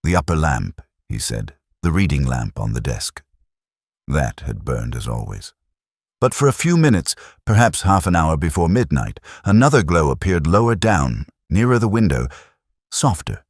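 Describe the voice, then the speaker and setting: Very deep, husky male story narrator. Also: very animated, dry recording, clean studio sound. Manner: literary, measured, reflective storytelling. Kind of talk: scripted first-person detective story narration.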